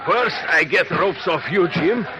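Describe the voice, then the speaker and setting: A man's voice: sits high, measured pace, lo-fi sound. An actor playing a giant, blunt, good-natured foreman in a 1940s radio drama, speaking broken English with a Russian accent. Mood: determined. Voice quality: broken English, dropped articles. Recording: noisy old radio recording.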